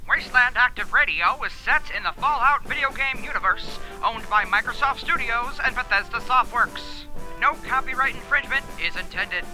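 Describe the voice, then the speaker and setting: A woman's voice: fairly high pitch, animated, moderate pace, bright, forceful tone, rough recording. A woman reading scripted closing credits as an announcer. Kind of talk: scripted narration. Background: music.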